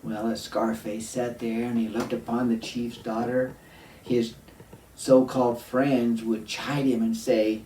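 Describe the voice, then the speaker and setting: A man tells a folktale to children at an unhurried pace, pausing for effect. His voice sits in an echoey room, some way from the microphone, with faint noise behind it. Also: mid-range voice, flat delivery, warm tone. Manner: deliberate, with dramatic pauses and questions to the listener. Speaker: storyteller. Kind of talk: oral storytelling to children. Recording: roomy echo, distant mic, faint noise.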